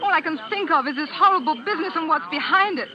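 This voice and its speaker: A woman's voice: high-pitched, expressive, fast talker. An actress playing an earnest, indignant young English lady in 1940s radio drama style. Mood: confused, pleading. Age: young adult. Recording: muffled 1940s radio recording.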